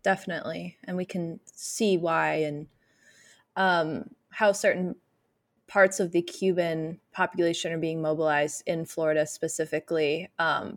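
A young adult woman interviewing a scholar, asking long, thoughtful questions full of hedges. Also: low-pitched. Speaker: podcast interviewer.

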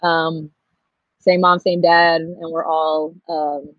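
A woman's voice: sits low, flat delivery, slow pace, dry recording. Muffled, dry-sounding young Californian woman. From Pasadena, California, United States. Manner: candid, reflective. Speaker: podcast guest, storyteller and activist. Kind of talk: casual personal storytelling.

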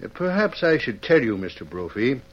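An actor playing a mild, proper, hesitant judge and banker in a 1940s radio western. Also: male voice, medium pitch, sing-song, a little fast. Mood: proper, surprised. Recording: muffled old radio recording.

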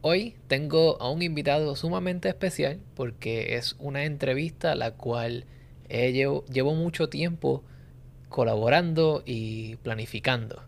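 A man's voice: medium pitch, unhurried, creaky. A man in his thirties hosting a podcast interview, often adding tag questions. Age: 30s. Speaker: podcast host.

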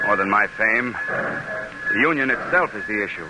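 A man's voice: on the low side, lively intonation, normal speed, over loud music, lightly acted, poor audio. A man playing a proud, resolute American senator in old radio drama style, speaking in formal, emphatic oratory with an American accent. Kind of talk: 1940s radio drama acting.

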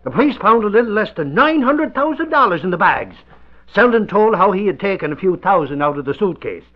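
Blustery, excitable 1940s radio man: high, very animated, emphatic, dull vintage sound. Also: brisk. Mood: excited, reporting. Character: blustery, excitable man dogging a masked vigilante. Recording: muffled vintage radio drama recording.

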